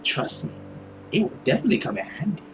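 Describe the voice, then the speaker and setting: A man voicing a smug, scornful nobleman villain in a visual novel, drawling and sarcastic with theatrical pauses. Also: on the high side, sing-song, over music, pitched below the performer's own voice. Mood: mocking, condescending. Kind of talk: visual novel character acting.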